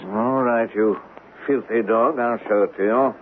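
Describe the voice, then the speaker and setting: An actor playing a greedy, suspicious bully with a gruff, contemptuous manner, in old-time radio drama style. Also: male voice, mid-range voice, steady tone, unhurried, narrow, tinny audio, close to an everyday voice. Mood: greedy, wary. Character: greedy, suspicious, bullying man guarding a treasure. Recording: thin, noisy vintage radio recording.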